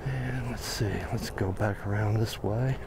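Gravelly man walking and narrating outdoors. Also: on the low side. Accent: American. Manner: relaxed, thinking aloud with frequent pauses and fillers. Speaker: videographer on a walking site tour. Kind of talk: casual walking commentary. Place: outdoor construction site in a city plaza. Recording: outdoor camera audio with noticeable background noise.

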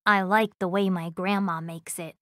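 A woman's voice: on the low side, fairly even intonation, normal speed, fairly bright tone, no echo, clean studio sound, close to an everyday voice. A woman voicing a friendly young schoolboy, reading scripted textbook lines with careful, simple phrasing. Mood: friendly, informative. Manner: careful, clearly enunciated, simple sentences.

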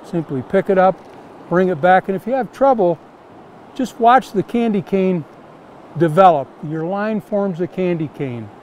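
Older male instructor with a high voice, outdoors in a river on a lapel mic, faint background hum, repeating simple casting steps. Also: unhurried, a little mellow. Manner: plain, repetitive step-by-step instruction. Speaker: fly fishing instructor.

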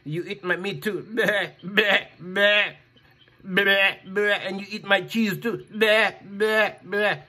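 A man's voice: sits high, fairly even intonation, takes it slowly, heavily acted. A man storyteller voicing a mocking goat that bleats between words. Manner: bleating, quiet.

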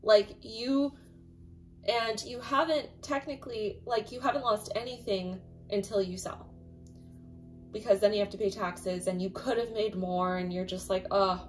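A woman with a slightly raspy voice, casually chatting through a tarot reading as the host of her own channel, full of fillers and emphatic asides. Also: mid-range voice, fairly even intonation, unhurried, slight background noise, rough recording.

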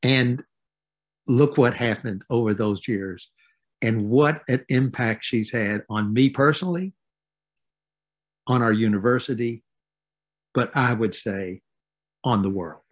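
An older man in his seventies giving reflective, halting remarks with weighty pauses over a video call. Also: medium pitch. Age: 70s. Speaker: retired university president, guest speaker. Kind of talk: reflective remarks in a panel tribute. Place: home study with bookshelves, indoors. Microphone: video call computer microphone.

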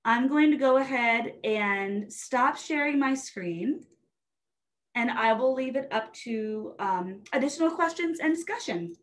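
Young woman with a high-pitched, animated delivery. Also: a little slow. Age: 20s. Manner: animated, conversational, often checking in with "right?". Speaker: guest presenter, STEM educator. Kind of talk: casual video discussion. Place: small room at home, indoors. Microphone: webcam video call.

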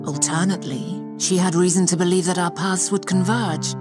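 A woman playing a composed, resolute ancient scholar in a game cutscene, speaking in a measured, formal way as she reasons. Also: on the low side, over music, lightly acted. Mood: pensive, measured. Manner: measured, deliberate, formal diction. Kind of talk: scripted game cutscene acting.

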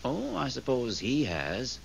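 A man acting in a 1970s radio drama as a seasoned, easygoing spirit among the stars, wry and measured. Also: medium pitch, wildly swooping pitch, gravelly, heavily acted. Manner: wry, measured, deferential to superiors. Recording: dull, hissy old radio recording.

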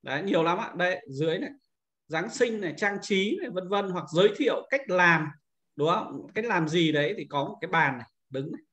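A man's voice: high voice, animated, fairly quick, fairly bright tone. A man narrating an informal step-by-step screen-share tutorial as an instructor, explaining and often adding short confirming tags.

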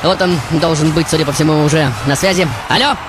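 A man hosting a live radio quiz show in an excited, showman-like manner. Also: fairly high pitch, quick pace, over loud music. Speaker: radio host.

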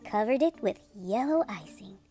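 A woman reading a picture book aloud to children as a storytelling host, with expressive, drawn-out playful emphasis. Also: mid-range voice, faint music behind.

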